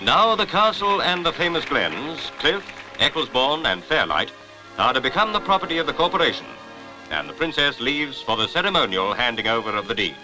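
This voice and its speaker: A man's voice: fairly high pitch, very animated, brisk, poor audio. A man with a Received Pronunciation accent reading scripted 1950s newsreel commentary in a jaunty, formal, clipped manner. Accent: British Received Pronunciation. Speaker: newsreel narrator. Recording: vintage newsreel soundtrack with music behind.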